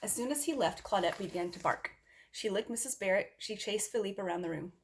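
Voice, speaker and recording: Female storybook reader, mid-range pitch, expressive read-aloud delivery for young children. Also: a little fast, a little hiss or hum.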